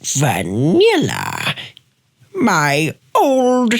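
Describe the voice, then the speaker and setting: A woman's voice: low voice, wildly swooping pitch, extremely slow, vocal fry, slightly rough, heavily acted. A woman playing an eccentric, unstoppable grandmother in her eighties in a radio play, with theatrical, grandiose delivery. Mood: vengeful. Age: 80s. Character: unstoppable, eccentric 81-year-old grandmother, defiant adventurer. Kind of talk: radio play character acting.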